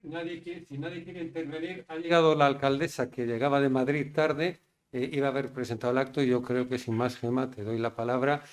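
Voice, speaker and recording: Male event presenter, measured formal closing remarks, mid pitch. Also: fairly even intonation. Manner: measured, thankful, with repeated words.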